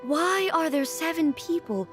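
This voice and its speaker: A woman playing a solemn, dignified, ageless female ruler in a video game, speaking in a formal, measured and contemplative way. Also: very high-pitched, lively intonation. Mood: puzzled. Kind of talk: video game character acting. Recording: music under the voice.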